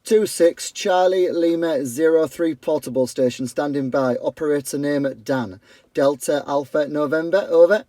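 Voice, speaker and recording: Friendly radio operator, mellow. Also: male voice, a little high, moderate pace. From York, England. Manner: friendly, keeps saying "my friend". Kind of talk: radio contact exchange. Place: outdoors by his house.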